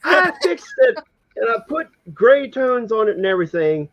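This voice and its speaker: A gravelly, slightly high man on a video call, his lively voice coming through dull and lo-fi. He protests the teasing with good humor. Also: normal speed. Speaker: comic artist guest. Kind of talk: casual chat on a livestream.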